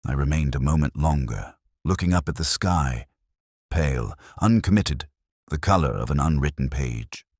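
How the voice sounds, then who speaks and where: A man playing a melancholy, reflective police detective, narrating a literary mystery in the first person with a measured, brooding delivery. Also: very deep voice, lively intonation, a little mellow, husky, no room sound, studio quality, full character voice. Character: melancholy, reflective male police detective telling his story.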